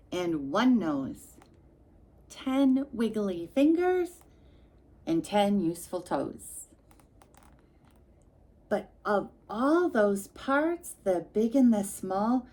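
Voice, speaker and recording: A woman reading a storybook aloud to young children, expressive and sing-song, with chatty asides addressed straight to the listeners. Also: medium pitch.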